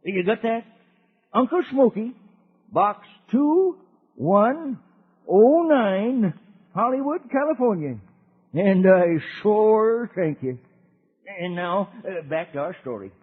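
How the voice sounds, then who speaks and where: Husky, high-voiced folksy cowboy uncle. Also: male voice, big pitch swings, slow, pauses often, exaggerated voice. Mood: folksy, friendly. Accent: American. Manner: folksy, rustic drawl. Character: folksy old cowboy uncle narrating to children. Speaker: narrator. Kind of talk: 1950s radio serial storytelling. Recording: dull old radio recording.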